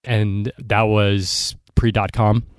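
A man hosting a podcast, reading a scripted intro and then interviewing a guest, with a measured manner and some hesitant restarts. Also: on the low side, little pitch movement, clear, resonant tone, no echo, clean studio sound. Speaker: podcast host.

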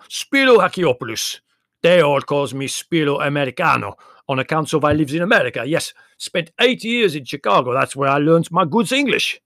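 A man's voice: a little high, a bit bright, no room sound, studio quality, pitched above the performer's own voice. A man voicing a big, boisterous Greek taxi driver who speaks emphatic broken English in a storybook reading.

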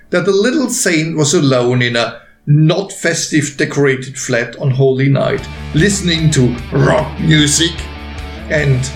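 A man narrating a written story in a deliberate, expressive way with pauses, as an author reading his own work. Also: fairly high pitch, vocal fry. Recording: roomy echo, faint music behind.

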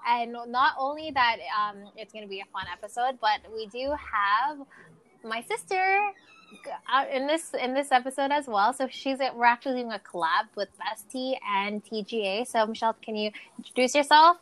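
Chatty higher-voiced woman co-host. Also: moderate pace, a bit bright. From Canada. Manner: rambling, many fillers and restarts. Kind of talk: casual chat, running a quiz game. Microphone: remote call mic.

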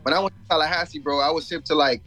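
A man's voice: fairly high pitch, steady tone, racing, sharp tone, soft background music. A man from Jamaica and Florida chatting casually as a rapper guest in an interview, telling stories in slangy talk with frequent asides. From born in Jamaica, grew up in Florida.

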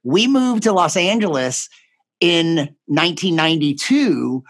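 A man's voice: a little high, very animated, a little slow. Middle-aged comedian guy from texas, joking around as a guest, easygoing. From born in Chicago, raised in Houston, Texas. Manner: playful, laughs often, jokey. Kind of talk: casual interview chat.

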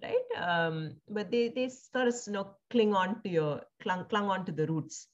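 A woman born in India and raised in Singapore, speaking thoughtfully as an interview guest, with frequent pauses, fillers and restarts. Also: medium pitch, highs cut off. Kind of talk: reflective conversational interview.

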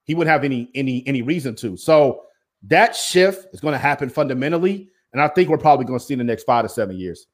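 Deep-voiced blunt-talking man. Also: lively intonation, fast. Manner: blunt, emphatic, confrontational. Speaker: music industry guest, former basketball coach. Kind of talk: interview conversation.

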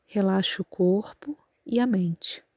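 A Brazilian woman leads a guided relaxation with calm, measured instructions. Her voice is soft-edged and mellow, and she speaks very slowly. The sound is close and dry, with little room around it. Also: medium pitch, husky, dry recording. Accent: Brazilian Portuguese. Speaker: yoga nidra guide.

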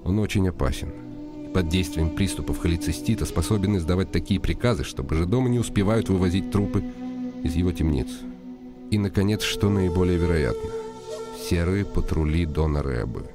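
A brooding nobleman hero in a radio play. His voice sits very low, deliberate and ironic with an impatient, commanding edge, over clearly audible music. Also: lively intonation. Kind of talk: radio drama acting with inner monologue. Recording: music behind the voice.

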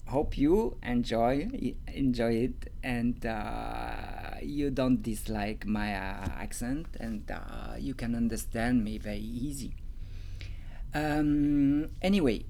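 Slow, hesitant Swiss French speaker. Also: mid-range voice, a little mellow, a little hiss or hum. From Switzerland. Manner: hesitant, searching for English words with many fillers and restarts. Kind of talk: casual talk sharing creative tips.

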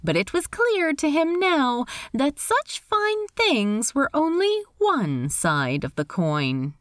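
A woman reading a story aloud in expressive scripted narration. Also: high-pitched, slow, dry recording, studio quality. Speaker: reader of fan fiction.

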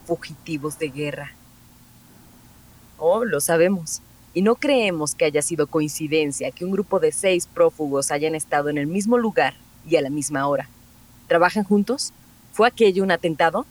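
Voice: mid-range voice, normal speed, close to an everyday voice. An actor playing a bitter, relentless officer interrogating suspects in a Spanish audio drama. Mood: accusing.

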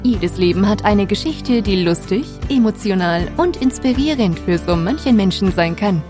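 A woman reading a scripted podcast intro in a lively, upbeat announcing manner. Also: mid-range voice, moderate pace. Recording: voice over loud music. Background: music.

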